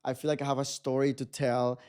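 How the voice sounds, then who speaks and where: A man's voice: mid-range voice, monotone, a little fast, darkish tone, smooth. A man in his twenties with a Mexican accent, a podcast guest chatting casually and animatedly, often restarting his sentences. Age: 20s. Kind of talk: casual chat.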